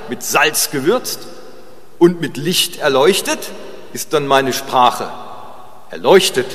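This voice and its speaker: A man preaching informally and chattily, in a halting way with pauses, repeated phrases and short tag questions. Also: mid-range voice, fairly bright tone. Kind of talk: informal sermon with digressions. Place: large reverberant room at a church service. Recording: long reverb of a large hall.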